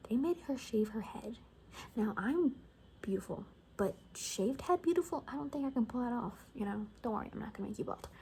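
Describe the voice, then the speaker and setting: A woman speaks softly in a relaxed, mellow voice that often slips into a whisper. She tells sassy, sarcastic gossip like a chatty survivor in an ASMR roleplay. A light layer of background noise sits behind her. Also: fairly high pitch, brisk, creaky, rough recording. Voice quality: soft, often dropping to a whisper. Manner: casual, sarcastic, gossipy storytelling. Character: sassy gossipy female apocalypse survivor giving a haircut.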